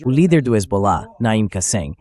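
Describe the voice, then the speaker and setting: A man's voice: on the low side, very animated, normal speed, darkish tone. A man with a Brazilian accent reading a translated voice-over of political news commentary as the show's host.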